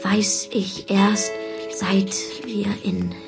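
A young boy's inner narration in a radio drama. The delivery is slow, musing and confiding, with clear music underneath. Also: mid-range voice, little pitch movement. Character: young boy narrating his own diary-like thoughts.

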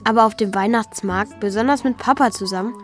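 A performer, heard as female, playing a curious, warm-hearted young child in a children's radio play. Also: medium pitch, a little fast, over music, natural voice. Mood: pensive narrating. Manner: earnest, questioning, childlike.

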